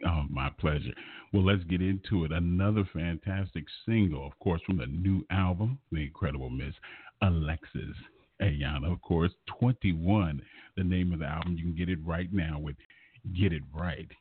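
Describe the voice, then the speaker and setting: A man hosting a radio music show, effusive and flattering, drawing out his phrases. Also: sits low, moderate pace, breathy edge. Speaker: radio host. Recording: muffled lo-fi audio, roomy echo.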